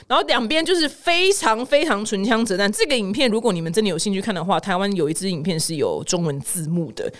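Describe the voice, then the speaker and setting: A woman's voice: mid-range voice, fast, few pauses. A woman with a Taiwanese Mandarin accent giving casual, chatty news commentary as a podcast host, acting out the people she quotes. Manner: chatty, animated retelling with acted-out quotes.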